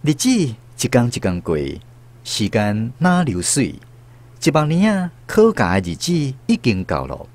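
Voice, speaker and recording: A man with a Taiwanese accent narrating a scripted radio drama as an expressive storyteller. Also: medium pitch, unhurried.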